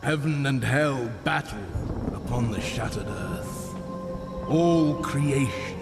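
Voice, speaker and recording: An actor playing an ancient, weary giant craftsman in a video game, speaking gravely and portentously like a wise old man. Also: mid-range voice, expressive, slow pace, over loud music. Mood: wise, solemn. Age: older. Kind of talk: video game cutscene acting.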